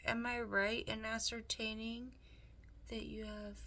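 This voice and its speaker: A woman's voice: fairly high pitch, level tone, slow, pushed and bright, pitched above the performer's own voice, exaggerated voice. A woman reading a storybook aloud, voicing a suave, scheming male rat with theatrical, formal, ingratiating manners. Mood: smooth, flattering. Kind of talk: storybook character read aloud.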